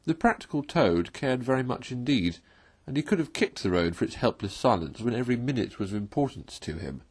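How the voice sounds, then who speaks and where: A man reading a classic children's story aloud as an expressive, literary narrator. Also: medium pitch, brisk, slightly rough.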